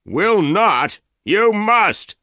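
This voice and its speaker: A man's voice: high voice, big pitch swings, drawn-out words, sharp tone, no echo, pitched above the performer's own voice, exaggerated voice. A man voicing an angry, gray-haired older male leader who bullies with questions. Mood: shouting. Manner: interrogating, demanding.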